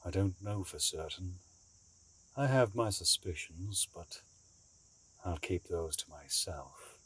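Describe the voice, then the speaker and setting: Low-voiced, grave male schemer played by an actor. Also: expressive, a bit bright, slightly rough, exaggerated voice. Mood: guarded. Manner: measured, cryptic, formal. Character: grave, secretive male schemer, an escaped captive bargaining. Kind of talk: audio drama acting.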